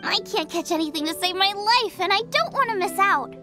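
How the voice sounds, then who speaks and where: An eager young girl chef from a video game, with a very high voice that bounces around in pitch. She is cheerful, food-obsessed and breezy, with music playing behind her. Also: animated, a little fast. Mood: pleading, friendly. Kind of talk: scripted game dialogue.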